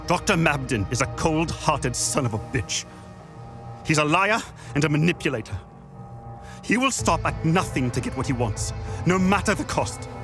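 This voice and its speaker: A male actor plays a bitter insider weighed down by guilt, in a video game. His slightly high voice is grave and urgent. Music plays clearly behind him. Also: animated, moderate pace, natural voice. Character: guilt-ridden, bitter male insider pleading to end suffering. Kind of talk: dramatic video game acting.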